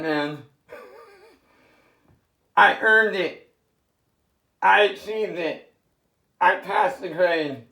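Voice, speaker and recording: Rough-voiced man freestyling slowly. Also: high voice, bright, forceful tone, roomy sound. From San Diego, California. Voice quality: rough, worn voice. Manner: rambling half-sung freestyle, swearing, then chatty. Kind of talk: improvised singing and casual vlog talk.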